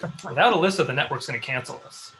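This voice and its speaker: A man playing a dry, unimpressed show staffer in his thirties in a comedy table read, deadpan and needling. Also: a little high, fast, a little hiss or hum. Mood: matter-of-fact.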